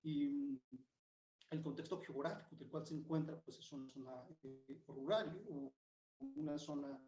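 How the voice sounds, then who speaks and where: Man, halting speech in an echoey room. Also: a little high, highs cut off, over music. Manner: hesitant, with fillers. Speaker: panelist at a book presentation. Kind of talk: commentary at a book presentation.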